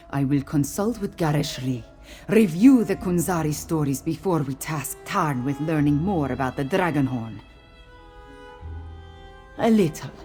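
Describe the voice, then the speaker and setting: A woman voicing a composed, regal cat-folk queen in a video game, speaking in a measured, formal manner. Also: deep voice, dark tone. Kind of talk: scripted video game dialogue.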